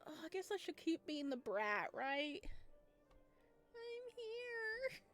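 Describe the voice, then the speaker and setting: High-pitched, unhurried woman gamer. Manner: expressive, reactive, playful. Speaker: gamer playing a visual novel. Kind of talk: casual let's-play commentary. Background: music.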